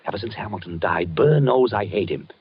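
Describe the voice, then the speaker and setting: Obsessed stammering husband, low husky voice, muffled old radio sound. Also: male voice, lively intonation, fairly quick, no room sound. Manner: stammering, agitated, fixated. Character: obsessed haunted husband, tense and unstable man. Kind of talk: 1940s radio acting.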